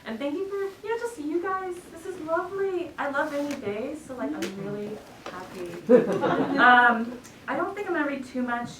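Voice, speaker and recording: Woman, fairly high pitch, informal, chatty poet at a live reading, very roomy echo. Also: lively intonation, normal speed, hardly any pauses. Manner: informal, chatty, self-deprecating, giggly. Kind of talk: casual live introduction to a poetry reading. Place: bookshop reading event. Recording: echoey room, distant mic, noisy.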